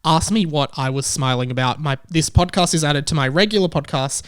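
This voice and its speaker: A man's voice: on the high side, fairly quick, fairly bright tone, clean tone. A man hosting a podcast in casual, comedic chat, informal and chummy, often laughing. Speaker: podcast host.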